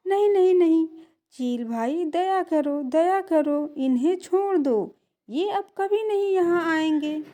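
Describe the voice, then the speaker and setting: A woman plays a protective mother bird in a children's cartoon. She pleads earnestly in a high voice that rises and falls a great deal, without hurrying. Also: lively intonation, slow, mellow, heavily acted. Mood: desperate.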